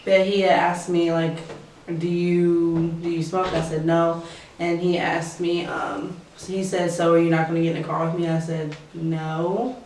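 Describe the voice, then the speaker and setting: A nineteen-year-old woman from Kentucky talks hesitantly, pausing often. Her delivery stays flat. She sounds far from the mic in a strongly echoing room. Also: fairly low pitch, a little hiss or hum. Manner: hesitant, many ums. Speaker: interviewee.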